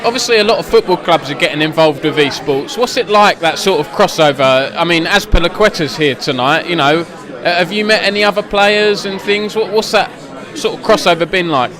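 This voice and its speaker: A man's voice: high-pitched, fairly quick, music in the background. Man interviewing players amid crowd noise. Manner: friendly, rambling questions. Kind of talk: event interview questions. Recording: noisy crowd behind. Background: crowd chatter.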